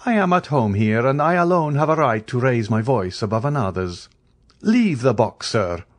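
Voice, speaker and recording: A man reading the part of a calm, commanding count who speaks with cool dignity. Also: medium pitch, pitched above the performer's own voice. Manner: measured, lofty, quietly authoritative.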